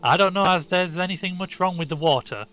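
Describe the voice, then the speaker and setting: Grave old rural shepherd as a male narrator puts him on, broad country speech, loud and weighty, faint music underneath. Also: high voice, brisk, pitched above the performer's own voice. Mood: grave warning. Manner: broad country dialect, dropped words.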